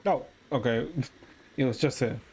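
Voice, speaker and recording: A man's voice: mid-range voice, measured pace, darkish tone, breathy edge. A man giving casual gameplay commentary, muttering short reactions to himself as he goes. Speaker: game streamer. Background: game music.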